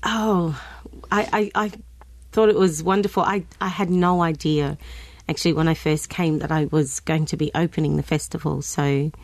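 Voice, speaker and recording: A woman's voice: low voice, steady tone, normal speed, dry recording. An Australian woman, a guest singer, chatting in an interview, hesitant, with many ums and restarts. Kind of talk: casual interview chat.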